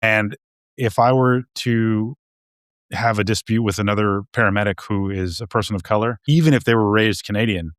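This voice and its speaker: A man's voice: on the low side, normal speed, no room sound, studio quality. A man co-hosting a podcast, talking casually and hesitantly, often restarting and repeating words. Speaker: podcast co-host. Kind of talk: casual discussion.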